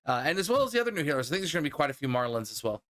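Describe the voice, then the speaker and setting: A man's voice: fairly high pitch, very animated, rapid-fire, fairly bright tone. A man chatting casually as a podcast host, with loose phrasing that circles a little before landing. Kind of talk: casual conversation.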